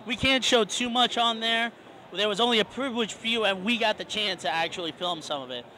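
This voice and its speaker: A man's voice: high voice, brisk, bright, forceful tone, a little hiss or hum, poor audio. A man hosting a casual fan video, chatting upbeat and introducing clips. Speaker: host. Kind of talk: casual panel chat.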